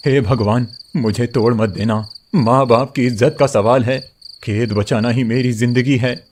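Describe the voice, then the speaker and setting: A man's voice: mid-range voice, steady tone, a little slow, darkish tone, a little hiss or hum, lightly acted. A man voicing a determined young farmer son in a cartoon story, earnest and defiant. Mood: pleading. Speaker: voice actor.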